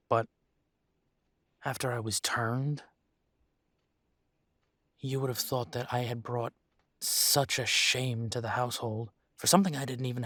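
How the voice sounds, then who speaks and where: A man playing a conflicted, weary werewolf talking to a mate in an intimate roleplay audio drama, halting and exasperated. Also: medium pitch, fairly bright tone, no echo. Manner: exasperated, halting, sighing delivery. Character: weary, conflicted male werewolf arguing tenderly with his mate.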